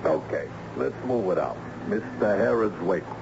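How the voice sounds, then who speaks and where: A menacing hired thug in an old radio crime drama. His voice is deep, with tough, slangy delivery. The recording is dull and clearly noisy. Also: expressive, normal speed. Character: thuggish, menacing hired muscle.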